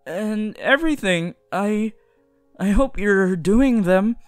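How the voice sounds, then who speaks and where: Slow-talking, flustered teenage boy hero acted out. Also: medium pitch, lively intonation, smooth, no echo, soft background music. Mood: gentle, encouraging. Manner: stammering, hesitant, tender. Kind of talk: anime fan audio roleplay addressed to the listener.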